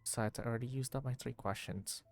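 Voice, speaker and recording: A man's voice: medium pitch, steady tone, fast talker, over music. A man streaming a video game, giving casual commentary and thinking aloud as he plays.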